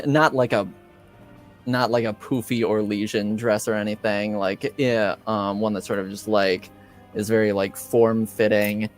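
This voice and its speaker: A man improvising tabletop game narration as game master, hesitantly searching for his words. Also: on the low side.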